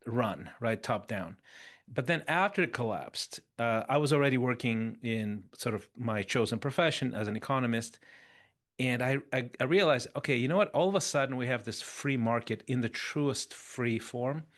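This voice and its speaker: A man in his fifties, a podcast guest, telling his story in an animated, conversational way and restarting phrases as he thinks. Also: mid-range voice, moderate pace. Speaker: interview guest.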